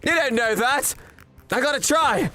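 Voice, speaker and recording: An actor playing men in a grim manga revenge drama. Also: male voice, very high-pitched, expressive, measured pace, pushed and bright, heavily acted. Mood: desperate, vengeful. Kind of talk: dubbed manga drama dialogue. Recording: music behind the voice.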